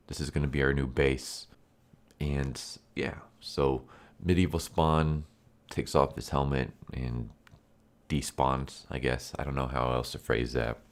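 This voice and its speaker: Very deep-voiced congested man. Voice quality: nasal, stuffy. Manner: rambling, full of fillers and restarts. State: congested from illness. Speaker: comic book reviewer. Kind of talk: casual comic review.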